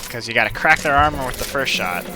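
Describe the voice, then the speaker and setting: A man's voice: medium pitch, lively intonation, quick pace, loud music behind the voice, poor audio. A man giving casual, rambling let's play commentary with playful asides. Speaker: gaming video host. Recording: game gunfire and ambience behind voice. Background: game gunfire and effects.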